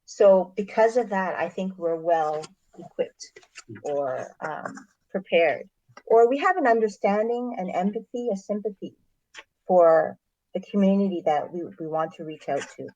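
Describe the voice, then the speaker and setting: Slow, mellow-voiced woman on dull audio. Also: medium pitch, animated, a little hiss or hum. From Canada. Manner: measured and thoughtful, with frequent pauses and fillers. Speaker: missionary. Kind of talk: interview answers. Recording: dull lo-fi recording.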